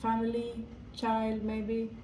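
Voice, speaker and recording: A woman from Norway with a Norwegian accent, giving an improvised tarot reading in a halting way, pausing and repeating her phrases. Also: on the high side, monotone, mellow, a little hiss or hum, rough recording. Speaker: tarot reader.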